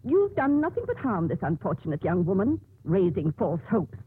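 An actress playing a stern, authoritative older nun who runs a convent, with commanding, emphatic delivery in a 1950s radio drama. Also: female voice, medium pitch, wildly swooping pitch, normal speed, husky, heavily acted. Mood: stern, reproving. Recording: muffled narrowband old radio recording.

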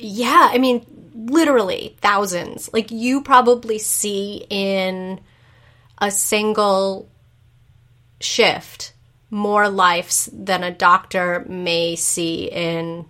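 Bright-voiced woman hosting an interview. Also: medium pitch, steady tone, very slow. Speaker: podcast host, interviewer. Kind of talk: conversational interview.

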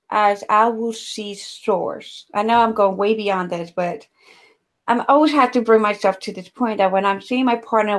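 Woman; podcast host, rambling and filler-heavy. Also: mid-range voice. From Ecuador. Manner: searching, rambling, filler-heavy, often laughs. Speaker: host, somatic healer. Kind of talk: conversational podcast interview.

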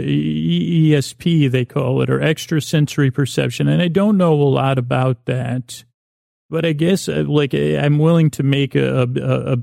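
A man with a creaky voice hosting a bedtime podcast in a meandering, halting monologue full of unfinished sentences. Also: mid-range voice, warm tone, dry recording, studio quality. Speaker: podcast host.

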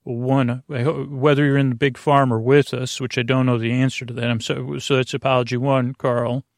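A man with a gravelly voice hosting a sleep podcast in a meandering, halting monologue, often interrupting himself and drifting off on tangents. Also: mid-range voice, flat delivery, dry recording, studio quality. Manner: meandering, halting, digressive, trailing off and self-interrupting. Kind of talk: rambling bedtime podcast monologue.

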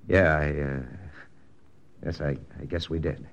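A man's voice: extremely low pitch, steady tone, slow. An actor playing a wry army lieutenant who narrates his own story in an old radio drama. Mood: dry. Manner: wry, dry, confiding narration. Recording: old radio broadcast with music.

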